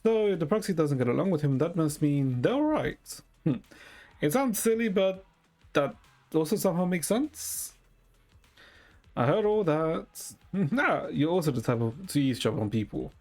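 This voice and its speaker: A man's voice: on the high side, animated, moderate pace, a little mellow. A man giving casual gameplay commentary and reading story dialogue aloud, with musing, muttered asides. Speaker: game streamer. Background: video game music.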